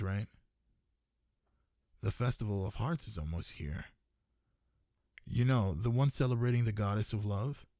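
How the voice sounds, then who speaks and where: Low-voiced flirty dragon librarian, acted by a man. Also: darkish tone, no room sound, clean studio sound. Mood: teasing, hopeful.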